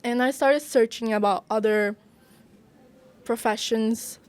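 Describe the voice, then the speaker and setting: A woman's voice: fairly high pitch, fairly even intonation, slow, clean tone, dry recording. Young woman with chatty "like" fillers. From Brazil, raised in Japan. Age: young adult. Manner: earnest, uses many "like" fillers. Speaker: guest, culinary arts student. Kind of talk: casual interview chat.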